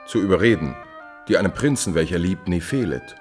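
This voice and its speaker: Scheming male courtier, low-voiced, cold-blooded and coaxing, deliberate delivery, vintage 1950s radio drama. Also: expressive, darkish tone, soft background music. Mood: calculating, coaxing.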